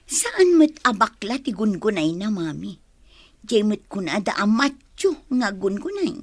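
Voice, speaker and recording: A woman's voice: mid-range voice, big pitch swings, a little slow, no room sound. A woman playing an eager, obedient young secretary and daughter in a radio drama, with an Ilocano accent.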